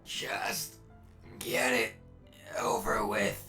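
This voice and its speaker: A man voicing a broken, dying robot prisoner in agony, gasping weakly in a breathy half-whisper for a comic dub. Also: on the low side, very slow, bright, forceful tone, faint music behind, full character voice. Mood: agonized, pleading.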